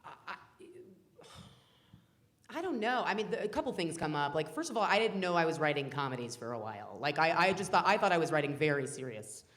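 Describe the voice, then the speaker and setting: Woman, 30s, low-pitched director, rambling and animated, full of fillers. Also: fairly quick. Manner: rambling, many fillers and restarts, animated quoting. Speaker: film director. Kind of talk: casual panel chat. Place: festival cafe stage.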